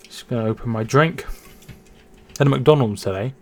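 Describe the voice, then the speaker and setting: A man's voice: medium pitch, normal speed, darkish tone. Chatty man hosting a watch-along. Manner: chatty, repeats words and restarts while reading chat. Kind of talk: casual live-stream chat with commentary.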